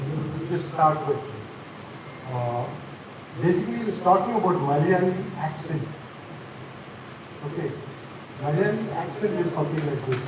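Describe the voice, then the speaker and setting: A man in his seventies, born in Bangalore, telling a personal story at a live event with a relaxed, anecdotal manner. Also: high voice. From Bangalore, India. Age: 70s. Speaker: invited senior speaker. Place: event hall. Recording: echoey far-off hall mic with noise.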